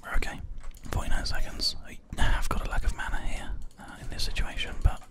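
A man whispers soft, unhurried, soothing ASMR commentary over his gameplay, breathy and close up on a binaural microphone. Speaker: host, gamer. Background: keyboard and mouse clicking.

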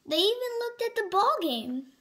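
A woman reading a picture book aloud to young children in an animated, emphatic way. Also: very high voice. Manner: slow, animated, with exaggerated emphasis for small children. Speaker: storybook reader for young children. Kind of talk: expressive read-aloud narration of a picture book.

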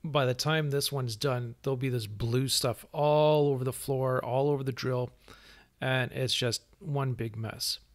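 A man's voice: mid-range voice, steady tone, a little slow. Easygoing man narrating his workshop project. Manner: easygoing, explains steps plainly with small restarts. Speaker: woodworking channel host.

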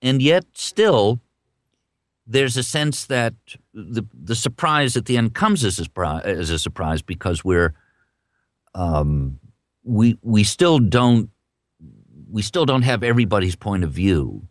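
A man interviewing in a conversational way, halting and restarting phrases as he works toward his point. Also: on the low side, lively intonation, dry recording, studio quality.

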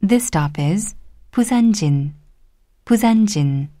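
A woman reads formal transit announcements in a mid-range voice. She is deliberate and extremely slow, with a dry, close sound free of room echo. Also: medium pitch, expressive, dark tone. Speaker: English-language subway announcer. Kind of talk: scripted public transit announcement.

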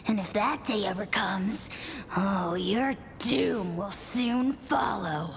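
A woman's voice: mid-range voice, slow, creaky, a crowd in the background. A woman voicing a small, vain dragon creature in a video game, pompous and threatening. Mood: haughty menace.

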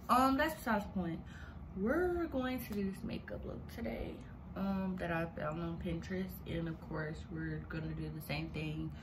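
A young woman talking casually through a makeup tutorial, relaxed and chatty with many fillers, in a small bedroom on a device mic. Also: fairly low pitch, vocal fry, background noise. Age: young adult. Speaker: beauty vlogger.

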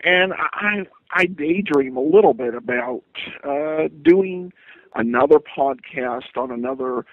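A man giving conversational interview answers as a podcaster, in a halting, rambling way. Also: on the high side, no room sound. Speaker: podcast host, interviewed guest. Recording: muffled phone-like line.

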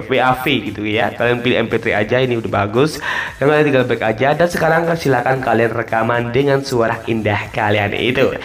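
Man, medium pitch, casual tutorial narration, drawn-out phrase endings, repetitive instructions, music underneath. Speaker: tutorial host. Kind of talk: casual app tutorial voice-over.

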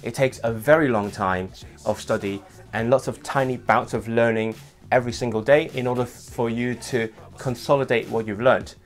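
A young man talks straight to his webcam from a small room at home, his hands moving as he explains things. English is not his first language, and he speaks with an average pitch. Soft music plays faintly behind him. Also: normal speed. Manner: animated, gestures with hands while talking. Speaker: language vlogger host. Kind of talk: casual scripted vlog talk to camera.

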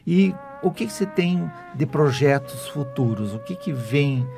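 A man's voice: fairly high pitch, lively intonation, measured pace. A man, hosting a conversational radio interview. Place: small foam-padded radio studio. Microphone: studio microphone. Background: clear music.

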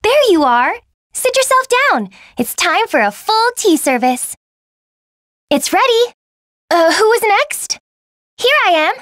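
A woman's voice: extremely high pitch, big pitch swings, slow pace, long pauses, fairly bright tone, dry recording, studio quality, exaggerated voice. A woman voicing a cute, perky young maid girl hosting a tea party in an anime-style game. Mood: perky, eager. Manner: perky, girlish, eager. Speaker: voice actor.

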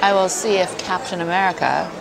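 A woman's voice: lowish voice, fairly quick, gravelly, background noise. A woman casually reviewing food to camera in a playful, exclaiming way, chatting between bites. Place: busy buffet restaurant, indoors. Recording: busy restaurant chatter with music behind. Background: crowd chatter and music.

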